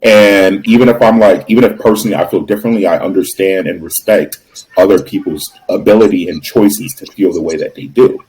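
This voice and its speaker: A man speaking as a podcast guest, earnest and measured, with a lot of casual fillers. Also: deep voice, fairly even intonation, a little mellow, poor audio. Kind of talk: casual chat.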